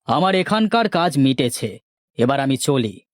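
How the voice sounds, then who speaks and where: A man's voice: medium pitch, sing-song, unhurried, faint music behind. A man voicing a solemn, benevolent yogi spirit in a children's cartoon, speaking in stately pronouncements. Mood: blessing. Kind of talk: children's moral cartoon acting.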